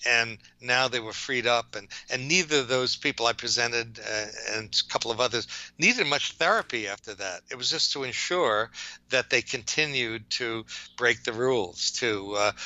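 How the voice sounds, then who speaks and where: An older man with a New York accent gives rambling interview answers. His voice is very bright and forceful, and he often stops to correct himself. The recording is dry and slightly dull in fidelity. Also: mid-range voice, no room sound. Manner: rambling, halting, self-correcting. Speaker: OCD psychologist, interview guest.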